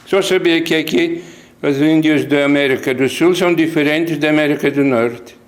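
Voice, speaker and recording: An older man, a missionary priest from Lithuania speaking with a Lithuanian accent, recalling the past in halting, rambling talk full of restarts. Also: a little high. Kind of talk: interview recollection.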